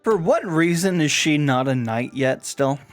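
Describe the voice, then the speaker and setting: Male streamer, fairly high voice, casual game reactions, faint music behind. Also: expressive, a little slow. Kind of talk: casual reactions over gameplay.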